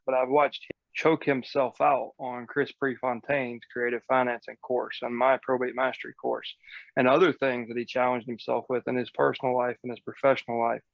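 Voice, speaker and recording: A man with a noticeably creaky, gravelly voice talks like a coach on a casual group call. He is earnest and colloquial, and the audio is close and dry but slightly dull. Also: mid-range voice, no echo. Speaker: host and real estate coach.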